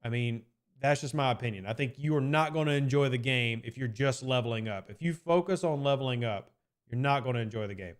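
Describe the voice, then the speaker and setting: A man's voice: mid-range voice, fairly quick. A man streaming live and answering chat questions in a casual, rambling way, often hedging with "I mean" and repeating his points.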